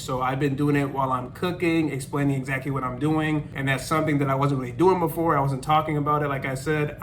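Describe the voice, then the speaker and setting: A man's voice: medium pitch, a little hiss or hum. Upbeat man vlogging in his apartment. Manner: upbeat, animated, laughs often. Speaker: language-learning YouTuber. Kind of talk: casual vlog talking to camera. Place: small apartment living room, indoors.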